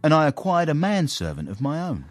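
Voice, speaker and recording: Self-satisfied servant turned gentleman, British, animated intonation, genteel formal phrasing, period radio drama. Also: fairly high pitch, a little fast, faint music behind. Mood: smug storytelling. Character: socially climbing manservant turned gentleman, smug and courteous. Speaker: narrator and lead character. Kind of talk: radio period drama, first-person storytelling.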